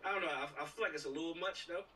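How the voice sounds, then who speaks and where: A man's voice: a little high, very fast, pushed and bright. An American man chatting casually and agreeably on a video call, often chiming in with short affirmations. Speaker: actor in a production meeting. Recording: distant, slightly noisy video call.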